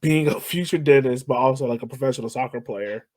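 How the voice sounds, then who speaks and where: A man's voice: mid-range voice, fast, darkish tone, no room sound. A man hosting a sports podcast, asking questions in a casual, conversational style. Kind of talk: casual interview.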